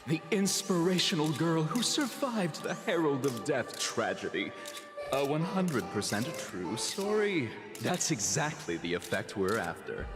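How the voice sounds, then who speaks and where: Excitable, high-pitched callous showman. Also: unhurried. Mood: smug. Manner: theatrical, excitable. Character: gleeful, manipulative TV show director, callous showman. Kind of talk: dramatic game voice acting. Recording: long echoing hall reverb, music underneath.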